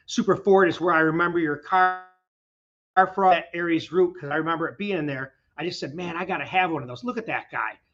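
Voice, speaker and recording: Guy hosting from his garage, high voice, kinda rambly, still getting his voice back, muffled lo-fi sound. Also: brisk. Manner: chatty, rambling. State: recovering from losing his voice. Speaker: host. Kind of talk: casual interview. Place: his garage, windy outdoors.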